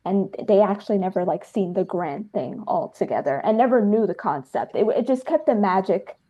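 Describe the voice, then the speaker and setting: A woman talks conversationally as an interview guest. Her voice is soft-edged and relaxed in tone, yet she is effusive and stresses points by repeating them. The audio is a little dull and lo-fi. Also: mid-range voice, moderate pace. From New Jersey, United States. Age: 30s. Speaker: filmmaker and dancer being interviewed.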